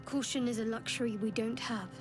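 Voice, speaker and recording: A woman's voice: a little high, steady tone, a little fast, soft background music, natural voice. An actress playing a stern, wary warrior woman in a fantasy video game, curt, proud and dry. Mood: stern, impatient.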